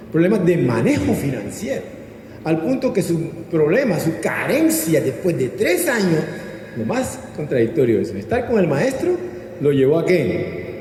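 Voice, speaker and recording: A man's voice: fairly high pitch, mellow. A man with a Colombian accent preaching a sermon as a pastor, teaching with rhetorical questions and asking listeners to repeat after him. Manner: didactic, prompting listeners to repeat and answer. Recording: echoey hall with long reverb, background noise.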